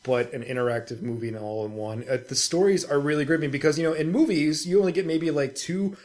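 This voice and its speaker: A man's voice: a little high, fast talker, darkish tone. Blunt young man on a gaming podcast. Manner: blunt, opinionated, freely swearing. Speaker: podcast co-host. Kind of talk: casual gaming podcast chat.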